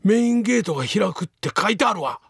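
Casting: excitable Kansai researcher on the run from zombies, gravelly husky voice, dry comedic radio drama. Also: male voice, high voice, fast, no room sound, full character voice. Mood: explanatory, grave. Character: panicky, urgent male researcher fleeing zombies.

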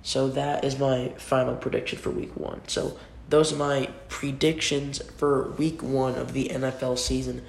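Gravelly young man with a cold. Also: mid-range voice, level tone, measured pace. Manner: rambling, restarts often, informal. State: has a cold. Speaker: sports podcast host. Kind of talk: casual solo sports predictions. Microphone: computer mic. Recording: echoey room with faint noise.

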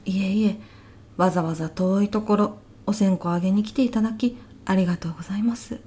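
A woman playing a mellow-voiced, polite elderly widow. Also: medium pitch, brisk, breathy edge, lightly acted. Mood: polite, wistful. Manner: soft-spoken, polite, deadpan reactions. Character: elderly widow, polite housewife, increasingly bewildered. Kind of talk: audio comedy sketch acting.

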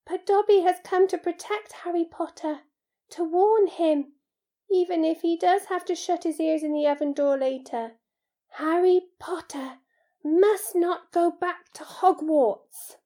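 A woman voicing a small, squeaky, breathy male house-elf in an audiobook, servile, fearful and devoted, pleading in the third person. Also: dark tone. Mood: earnest, tearful.

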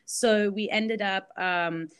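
A woman's voice: mid-range voice, fairly even intonation, takes it slowly, clear, resonant tone. A woman in her thirties, originally from Belgium, telling her story as an interview guest in a candid, chatty way with many fillers. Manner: candid storytelling with frequent fillers and light laughs. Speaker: guest, business founder. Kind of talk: casual interview chat.

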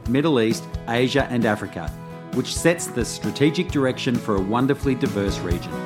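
A man reads a scripted introduction in an even, formal way. Plucked guitar music plays clearly underneath his voice. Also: mid-range voice. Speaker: show announcer. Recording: music bed behind voice.

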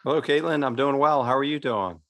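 A man's voice: mid-range voice, a little fast. A man co-hosting a podcast as a parish priest, talking casually and rambling with restarts. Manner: rambling, many restarts and fillers.

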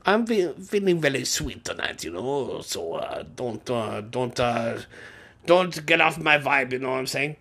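A man's voice: medium pitch, sing-song, unhurried, a bit bright. A man co-hosting a podcast, rambling casually through the sign-off with lots of fillers and restarts. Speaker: podcast co-host.

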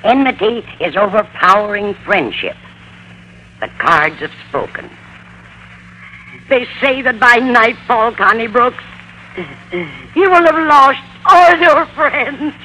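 Dotty older landlady for a radio sitcom: high, unhurried, fussy and dramatic, on a noisy vintage recording. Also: animated, pushed and bright, heavily acted. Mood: mysterious, portentous. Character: dotty, superstitious, easily offended older landlady. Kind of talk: 1940s-50s radio comedy acting.

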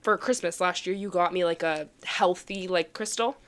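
A woman's voice: lowish voice, normal speed, a bit bright. A young woman chatting casually as a podcast co-host, upbeat, with many filler words. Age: young adult. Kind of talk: casual chat.

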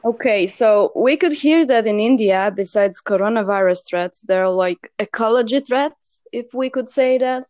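A woman's voice: on the high side, moderate pace, hardly any pauses, darkish tone, no echo. A young woman from Serbia co-hosting a casual discussion, talking with many fillers and restarts. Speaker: co-host.

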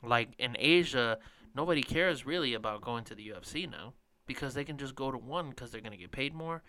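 A man co-hosting a sports podcast, rambling casually and letting his sentences trail off. His voice is bright and smooth. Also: mid-range voice, animated, fairly quick. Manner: rambling, filler-heavy, trails off quietly. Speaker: podcast co-host.

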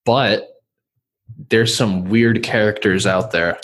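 A man's voice: lowish voice, steady tone, slow, fairly bright tone. A man from New York with a New York accent, interviewing in a chummy, rambling way and swearing casually.

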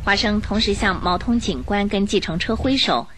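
A woman narrating a radio drama in an expressive, scripted storytelling style. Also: mid-range voice, normal speed, noisy background.

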